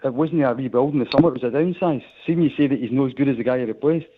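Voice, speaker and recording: A Scottish man speaks frankly on a tinny phone line and talks quickly. He often repeats his words as he gathers his thoughts. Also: mid-range voice, husky. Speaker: caller. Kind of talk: phone-in chat.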